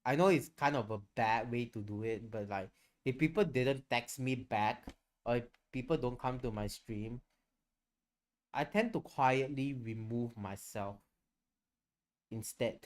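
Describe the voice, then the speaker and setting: A man's voice: mid-range voice, measured pace. A man chatting casually on a livestream, rambling and candid, swearing freely as he goes. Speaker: streamer and VTuber.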